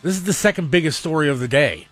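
A male radio co-host with a fairly high voice and big swings in intonation. He banters casually, pushing his point with joking insistence. The sound is dry and studio-close. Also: expressive, fast, a bit bright, no room sound, soft background music.

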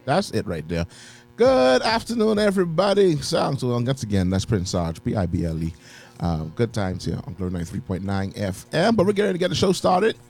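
A man's voice: mid-range voice, big pitch swings, brisk, vocal fry, a little mellow, soft background music. A man hosting a live radio show, bantering casually and laughing often.

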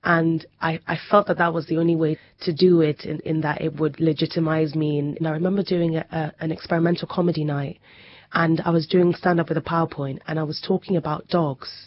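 A man's voice: a little high, fairly quick, a little hiss or hum. A male comedian with a Nigerian-British accent, talking casually with many fillers and restarts. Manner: relaxed, lots of fillers and restarts. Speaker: comedian guest. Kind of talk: casual radio conversation. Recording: muffled broadcast audio.

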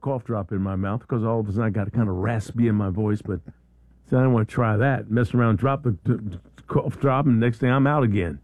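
A man's voice: lowish voice, fairly quick, dry recording, narrow, tinny audio. A middle-aged man hosting a morning radio show in the studio, chatting casually and rambling through stories with many restarts. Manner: animated storyteller, rambling with frequent restarts. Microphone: studio microphone.

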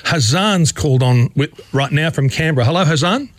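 A male radio host speaks in a dry, close studio sound. His delivery is halting, full of restarts and fillers as he reads out messages and gives his view. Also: a little high, lively intonation, no echo. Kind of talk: talkback radio hosting.

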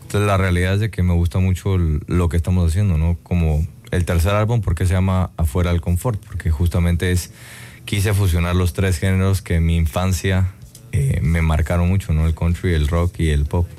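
A man's voice: sits low, fairly even intonation, normal speed, dark tone, slight background noise. A man from Guatemala, a singer chatting in an interview, thoughtful and full of "eh" fillers and tag questions. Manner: reflective, many "eh" fillers and tag "¿no?". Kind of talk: casual interview chat.

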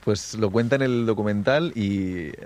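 A man's voice: fairly low pitch, normal speed, darkish tone. A man co-hosting an interview, introducing the guest and asking fluent, prepared questions. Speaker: co-host. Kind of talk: radio interview.

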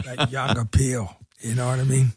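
A man co-hosting a casual radio chat, talking haltingly with lots of fillers and restarts. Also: mid-range voice, level tone, husky, no room sound, clean studio sound. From Irish heritage. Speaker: radio co-host.

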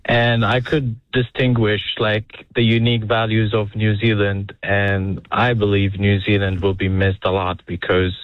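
A young man from Saudi Arabia, calling in to a radio show, talking earnestly and haltingly. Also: lowish voice, flat delivery, dry recording. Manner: earnest, halting, restarts phrases. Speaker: caller. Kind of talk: casual phone-in chat. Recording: muffled phone-in line.

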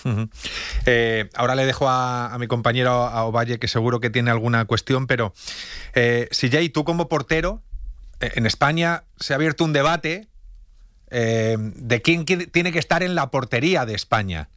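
A man's voice: medium pitch, normal speed, a bit bright, no echo. Male sports interview host, Spain accent, mid-range voice, dry sound, conversational and encouraging with his guest. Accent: Spain Spanish.

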